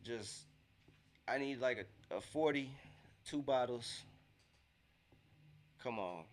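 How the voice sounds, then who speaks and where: A man's voice: medium pitch, slow pace. A young man with a congested, nasal voice, casually reacting to a basketball game on a live watch-along stream, slangy and repeating himself.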